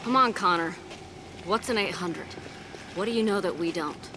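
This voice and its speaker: A woman's voice: on the low side, moderate pace, noisy background, natural voice. A woman playing a sceptical, snappy resistance fighter in a video game, sarcastic and challenging. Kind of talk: video game cutscene acting.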